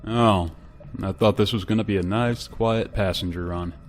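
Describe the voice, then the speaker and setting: A man playing a dutiful, measured spaceship pilot in an old-time style radio drama. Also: on the low side, steady tone, slight background noise, close to an everyday voice. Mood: casual, dutiful. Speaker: radio drama actor and in-character narrator. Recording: music under the voice.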